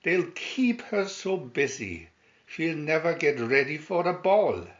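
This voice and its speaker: A man's voice: on the high side, very animated, takes it slowly, lo-fi sound. A man voicing a small, loyal mouse in a fairy-tale reading, earnest and plucky. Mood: sympathetic.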